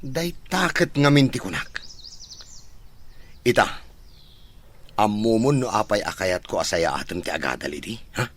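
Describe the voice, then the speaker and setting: An actor playing a stern, angry older brother in a radio drama, scolding in a Filipino Ilocano accent. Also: male voice, fairly low pitch, expressive, moderate pace, long pauses. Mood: reproachful. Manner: scolding, forceful delivery.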